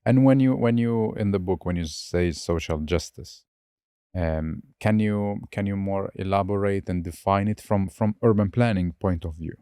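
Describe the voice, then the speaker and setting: A man's voice: deep voice, moderate pace, warm tone, clear, resonant tone, dry recording, clean studio sound. A man hosting a podcast interview, encouraging his guest with frequent short acknowledgements. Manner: encouraging, many short "mm" and "yeah" backchannels. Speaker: podcast host.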